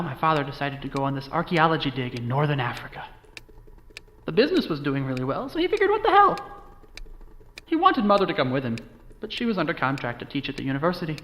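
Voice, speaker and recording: A man's voice: fairly high pitch, fairly bright tone, slight background noise. An actor playing a wry, guilt-ridden young American man recounting his family history in an audio drama. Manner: wry, sardonic, confiding. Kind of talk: audio drama monologue, conversational storytelling.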